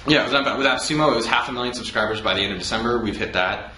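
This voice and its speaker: A man's voice: medium pitch, bright, forceful tone. A man hosting a casual chat and giving startup advice, loose and swearing freely. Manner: fast, loose, swears freely, laughs often. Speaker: host. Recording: echoey room with faint noise.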